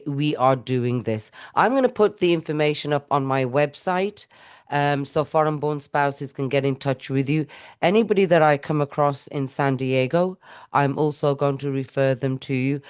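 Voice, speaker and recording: A middle-aged woman from India hosts a casual interview. Her voice is smooth and clear, and she gushes praise in long, rambling sentences full of restarts. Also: low-pitched. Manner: effusive, rambling, with self-corrections. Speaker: podcast host.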